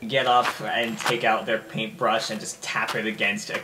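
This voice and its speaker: A man's voice: fairly low pitch, little pitch movement, normal speed, background noise. A man talking casually as a player in an improvised tabletop game, describing his character's actions. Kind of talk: casual improvised roleplay talk.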